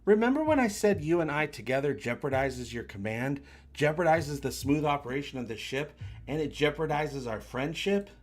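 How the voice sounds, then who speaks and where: A man's voice: a little high, lively intonation, moderate pace. A man playing a troubled female starship first officer in a sci-fi comic read-along, earnest and direct.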